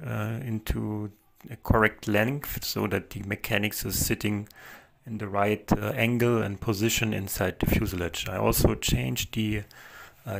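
A man narrating a model helicopter build in a relaxed voice-over, pausing often with fillers. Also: on the low side, creaky. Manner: hesitant, many fillers, soft-spoken. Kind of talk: casual build walkthrough voice-over.

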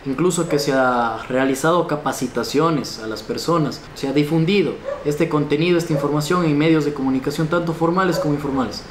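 A man's voice: a little high, a little fast, few pauses. A young man in his twenties with an Ecuadorian accent gives a formal, stiff official police statement for local news. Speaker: police chief, spokesman. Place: small indoor office, seated. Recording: echoey room with background noise.

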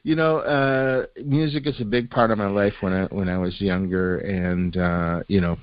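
Older man hosting a podcast, low voice. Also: moderate pace, clear, resonant tone, dry recording. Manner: relaxed, full of fillers like "you know" and "uh". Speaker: podcast host. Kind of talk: casual chat. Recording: dry, slightly dull podcast audio.

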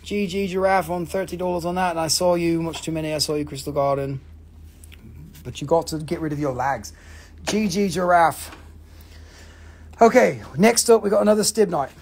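Man, high voice, husky, joking and profane, live rock auction host. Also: fairly even intonation, normal speed, pauses often, a little mellow. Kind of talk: fast live auction patter. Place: mineral shop indoors.

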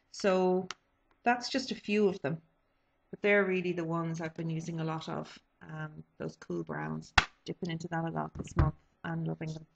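A woman's voice: fairly low pitch, vocal fry. Chatty woman with a cold, lo-fi webcam. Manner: chatty, rambling, many ums and restarts. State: sniffly with a cold. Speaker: beauty vlogger. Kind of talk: casual product review. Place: small bedroom indoors. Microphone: webcam built-in mic. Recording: dull lo-fi webcam audio.

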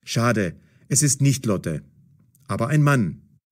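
A man's voice: medium pitch, very animated, slow, background noise. A man narrating a scripted story for language learners in short, dramatic sentences.

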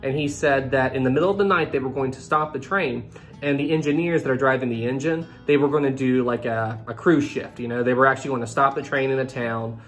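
A young man in his twenties recounts a trip straight to camera in a lively, conversational way, talking to his viewers directly. He sits in a small room with a desk webcam in front of him. Soft guitar music plays behind him. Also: medium pitch, fairly quick. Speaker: travel vlogger. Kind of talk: storytelling to camera.

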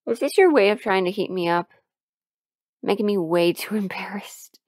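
A woman acting a sleepy, affectionate partner in an intimate audio roleplay, muttering softly with whispers and sighs. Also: mid-range voice, lively intonation, moderate pace, echoey room, distant mic, natural voice. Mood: flustered, embarrassed. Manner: soft, drowsy, muttering to herself with whispers and sighs. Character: sleepy affectionate partner awake in cold bed, playfully grumbling.

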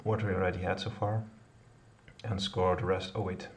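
A man's voice: sits low, little pitch movement, moderate pace. A middle-aged man live-coding at home, thinking aloud in a hesitant way with many fillers and self-corrections. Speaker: programmer live-coding a kata. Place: home office, indoors. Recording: distant voice with clear background noise. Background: keyboard typing and clicks.

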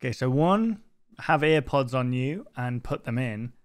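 A man's voice: medium pitch, lively intonation, a little slow, no room sound. A man in his twenties giving casual reaction commentary into a close desk microphone indoors. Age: 20s. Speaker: reaction video host. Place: bedroom streaming setup indoors.

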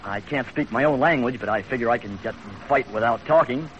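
A man acts a brash Chinese-American laundryman, eager and talkative, in 1930s radio drama style. His medium-pitched voice runs on informally. The vintage recording is dull with faint noise. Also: fairly quick, lightly acted.

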